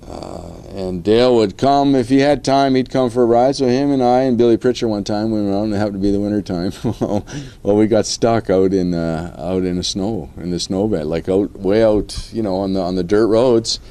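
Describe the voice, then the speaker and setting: Folksy Canadian male storyteller, smooth and relaxed, casual delivery. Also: on the low side, brisk, few pauses. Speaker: colleague giving a tribute. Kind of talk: casual anecdote.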